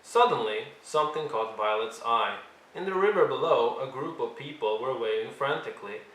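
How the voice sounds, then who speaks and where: A man reads a storybook aloud for young children. His delivery is expressive and deliberate, leaning on key words for drama. The recording is roomy and echoey, with faint noise behind him. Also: mid-range voice. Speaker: storyteller reading a picture book aloud. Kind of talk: expressive read-along narration for young children.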